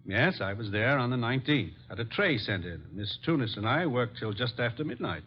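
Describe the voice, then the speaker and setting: An actor playing a polished, self-assured importer in an old radio drama, courteous and wordy. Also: male voice, mid-range voice, lively intonation, brisk, natural voice. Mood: courteous, probing. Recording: noisy, dull old radio recording.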